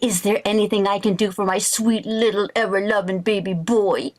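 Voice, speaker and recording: A woman's voice: medium pitch, fairly even intonation, moderate pace, natural voice. A woman playing a playful, scheming, wheedling housewife in a 1940s-style radio comedy, coaxing and theatrical.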